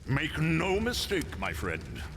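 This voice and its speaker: An actor playing an imperious armored male villain who threatens in slow, measured words. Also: on the high side, wildly swooping pitch, vocal fry, a bit bright, slight background noise, exaggerated voice. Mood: commanding. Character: menacing armored male tyrant villain, cold and commanding. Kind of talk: video game cutscene acting.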